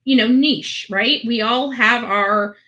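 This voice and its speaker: Bright, high-voiced woman chatting casually. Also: slow, muffled recording. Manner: earnest and emphatic, repeating phrases for effect. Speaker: online video channel host. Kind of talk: casual rambling chat to followers.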